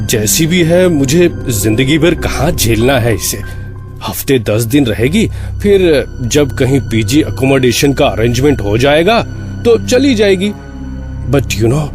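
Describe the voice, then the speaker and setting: A man playing a TV writer who tells his own horror story in a conversational, expressive way. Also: a little high, heavily acted. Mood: puzzled. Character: puzzled male Mumbai TV writer recounting eerie experience. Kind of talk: first-person horror drama narration.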